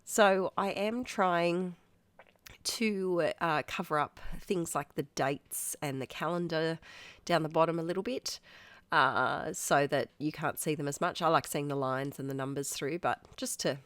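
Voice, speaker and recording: A woman with an Australian accent talks in a low, slightly congested voice, as though her sinuses are blocked. She rambles warmly through a casual art voiceover, poking fun at herself. The recording is close and dry, with no room sound. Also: measured pace, no echo. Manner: chatty, rambling, self-deprecating, laughs often. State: stuffy, nasal congestion. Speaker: art channel host.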